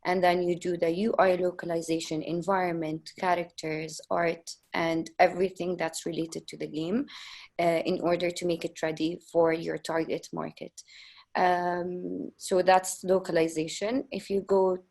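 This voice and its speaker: Even-toned woman explaining on a webinar. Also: on the low side, moderate pace. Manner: steady, explanatory, with ums. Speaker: localization company panelist. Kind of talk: webinar panel talk. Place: video call.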